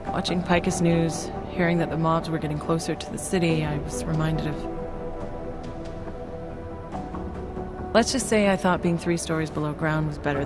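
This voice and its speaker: A soft-spoken therapist with a wry edge, shaken but resilient. Her voice sits fairly low in a video game cutscene, with loud music behind it.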